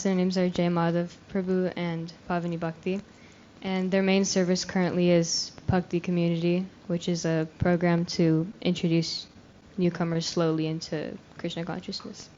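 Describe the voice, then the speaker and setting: A woman's voice: on the low side, flat delivery, measured pace. A young woman introducing herself at a youth retreat in a casual, shy and modest way. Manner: shy, modest, laughs softly. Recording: dull lo-fi recording with faint noise.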